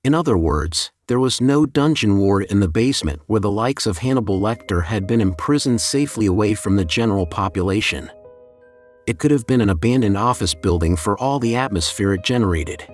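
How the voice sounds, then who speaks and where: A man with a fairly low voice reads a scripted creepypasta in the first person. His delivery is measured and deliberate, like a storyteller laying out each detail. Music plays clearly behind his narration. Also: animated. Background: background music.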